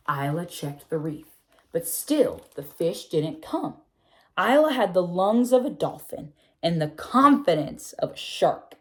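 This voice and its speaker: A woman reading a children's picture book aloud, expressive, often slowing and stretching her phrases. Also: mid-range voice. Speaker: read-aloud narrator.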